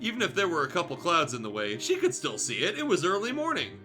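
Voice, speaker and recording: A man with a very bright, forceful and fairly high voice reads fan fiction aloud for a stream. His delivery is theatrical and irreverent, swinging widely in pitch. Music plays faintly behind him. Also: wildly swooping pitch, fast. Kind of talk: dramatic story reading with joking asides.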